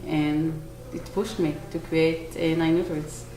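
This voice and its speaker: A woman in her forties from Israel with an Israeli accent, a bakery owner answering interview questions earnestly, pausing to search for words. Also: deep voice, fairly even intonation. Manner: earnest, with small restarts and searching pauses. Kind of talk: on-camera interview. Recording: echoey room, faint noise.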